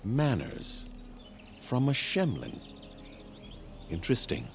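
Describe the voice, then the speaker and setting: An actor playing a weary, haughty elven clan leader in a fantasy video game, speaking formally and gravely in a measured way. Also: male voice, medium pitch, huge pitch swings, noisy background, full character voice. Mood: wry, grave.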